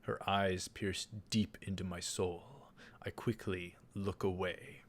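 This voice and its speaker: A man's voice: low-pitched, level tone, takes it slowly. A man reading a visual novel aloud as a let's player, dramatizing the narration. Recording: music behind the voice. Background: game music.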